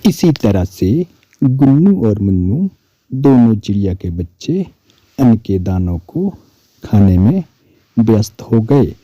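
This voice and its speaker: A man narrating a children's cartoon story in a slow, measured way. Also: lowish voice, sing-song, warm tone, dry recording.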